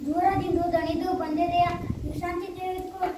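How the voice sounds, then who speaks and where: A child acting as Krishna, a kind young king, in a school stage play, speaking in a declamatory, warm and commanding way. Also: very high voice, level tone, moderate pace. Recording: echoey hall, distant mic, faint hiss.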